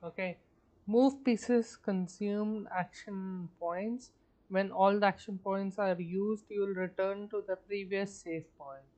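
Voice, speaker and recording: A woman's voice: medium pitch, steady tone, slow pace, dark tone. A woman live streaming a game, chatting casually and murmuring offhand to herself as she reads instructions aloud.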